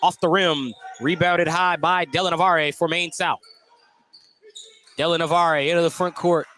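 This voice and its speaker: A male announcer calls a basketball game live for radio in a slightly high voice. He tracks the ball and the players as play moves. Faint noise of the gymnasium sits behind him. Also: fairly even intonation, moderate pace, bright, forceful tone. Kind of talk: live radio sports commentary. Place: indoor gymnasium. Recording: noisy live gym broadcast. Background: gym noise, bouncing basketballs.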